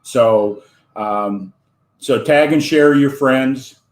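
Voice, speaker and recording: A man's voice: medium pitch, extremely slow. Rambling American man hosting, roomy sound. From United States. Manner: rambling, many fillers. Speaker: host. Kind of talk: online interview show. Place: home in Wisconsin.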